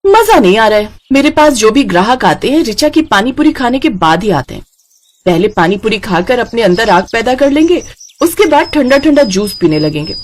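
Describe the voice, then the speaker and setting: A woman's voice: a little high, very animated, normal speed. A woman voicing a jealous, scheming young woman who runs a street juice cart in a children's cartoon story, boastful and grumbling by turns. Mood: sulky. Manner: loud, boastful vendor calls and sulky grumbling.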